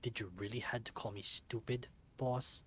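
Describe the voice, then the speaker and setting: A man's voice: mid-range voice, fairly even intonation, moderate pace, a bit bright, a little hiss or hum, lightly acted. A man voicing a big creature henchman in a visual novel, casual and reluctant yet menacing. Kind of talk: visual novel character acting.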